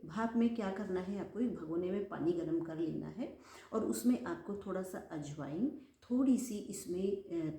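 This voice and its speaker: A woman's voice: on the low side, dark tone, reverberant. A woman YouTuber giving home remedy tips in a chatty way, repeating phrases for emphasis and addressing viewers as friends. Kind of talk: casual instructional talk to camera.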